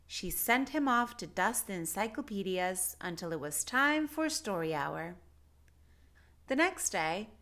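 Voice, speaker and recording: Slow, animated woman storyteller. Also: mid-range voice. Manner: deliberate, expressive. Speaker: children's read-aloud storyteller. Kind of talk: expressive scripted storybook narration for children.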